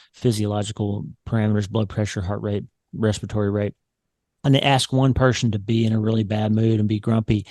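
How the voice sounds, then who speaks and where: A man's voice: on the low side, warm tone, no echo, studio quality. A man, a surgeon explaining research studies at length in a video-call interview from a home office. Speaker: neurosurgeon guest. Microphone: large studio mic on boom arm.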